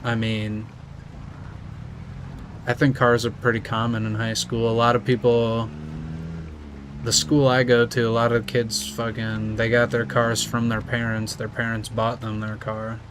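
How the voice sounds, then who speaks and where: A young American man, just out of high school, chatting casually and rambling with viewers while he plays. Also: completely flat delivery, unhurried, background noise. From United States. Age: young adult. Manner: laid-back, rambling, slangy. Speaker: streamer. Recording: music playing behind.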